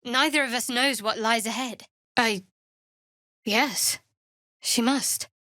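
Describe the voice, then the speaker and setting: An actress playing a guarded, wry young half-elf cleric in a fantasy video game, speaking in a measured, dry, slightly haughty way. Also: female voice, fairly high pitch, sharp tone, no echo. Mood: solemn, sincere. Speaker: video game character voice actor. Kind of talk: scripted fantasy game dialogue.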